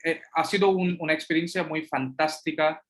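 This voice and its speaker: A man whose first language is Hindi, answering audience questions as a guest lecturer, halting often with fillers and restarts. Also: a little high, fairly even intonation.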